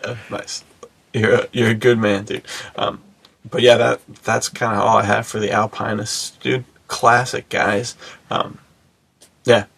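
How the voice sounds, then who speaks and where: A man's voice: lowish voice, flat delivery, a little slow, vocal fry, fairly bright tone, slightly rough, no room sound. A man co-hosting a podcast in casual chat, talking in laid-back bro slang. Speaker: podcast co-host.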